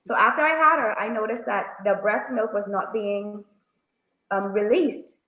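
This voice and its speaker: A woman, speaking softly and hesitantly as a guest on a video call. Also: medium pitch, measured pace. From Bahamas. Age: 30s. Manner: soft-spoken, hesitant with frequent ums. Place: indoors, small room. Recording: roomy, dull phone audio.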